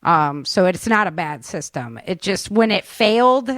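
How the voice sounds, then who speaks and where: Chatty woman host with a lowish, dry voice. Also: animated, measured pace, fairly bright tone, no room sound. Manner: chatty, encouraging, affirming. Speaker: podcast host interviewing a guest. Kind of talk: casual conversational interview.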